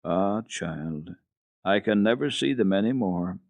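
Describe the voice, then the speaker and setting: A man voicing a gentle, mournful old blind grandmother with a plaintive delivery, in a storybook reading. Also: low voice, lively intonation, measured pace, vocal fry, no echo, narrow, tinny audio, heavily acted.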